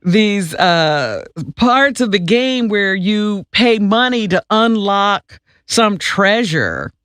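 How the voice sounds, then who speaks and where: A woman's voice: mid-range voice, lively intonation, takes it slowly, a bit bright, clear, resonant tone, no echo. Woman reading radio news, dry close sound. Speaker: radio news reader and co-host. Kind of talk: news reading with casual banter.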